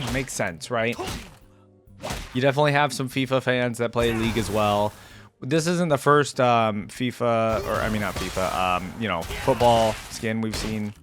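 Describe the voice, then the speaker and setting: A man's voice: medium pitch, measured pace, music in the background. A man giving casual reaction commentary in an informal, slangy gamer way. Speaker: reaction video host.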